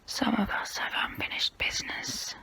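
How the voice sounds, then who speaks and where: A woman voicing a haughty immortal vampire who looks down on humans, in a breathy close-up whisper for an ASMR role play. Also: pushed and bright, lightly acted. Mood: disdainful, musing. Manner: slow, deliberate, condescending.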